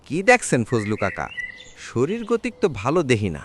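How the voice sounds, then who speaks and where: An actor playing a respectful but disapproving village tea-stall keeper in a cartoon, grumbling in a rural Bangladeshi Bengali accent. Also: male voice, medium pitch, huge pitch swings, moderate pace, fairly bright tone. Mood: concerned, disapproving. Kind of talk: cartoon village drama dialogue. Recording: faint background noise with clicks.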